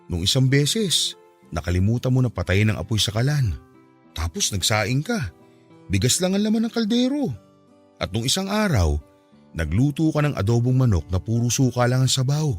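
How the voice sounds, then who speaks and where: A man playing a patient, loving husband in a radio drama, speaking gently and reassuringly. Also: medium pitch, very animated, moderate pace. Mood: concerned. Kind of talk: Filipino radio drama acting. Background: soft background music.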